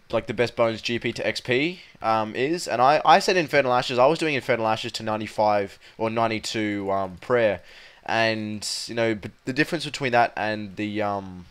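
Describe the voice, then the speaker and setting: A young man with a raspy voice from a cold, rambling casually with many ums and restarts as he comments over his gameplay. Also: fairly low pitch. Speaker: gaming video host.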